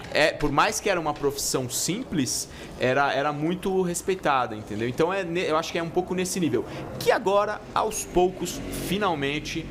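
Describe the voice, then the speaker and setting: A middle-aged man born in Italy chats casually on a podcast, telling his stories at an easy pace. He often restarts his sentences and drops in slang. A faint hiss sits behind his voice. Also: mid-range voice. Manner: relaxed storytelling with slang and many restarts. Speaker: guest pizza chef.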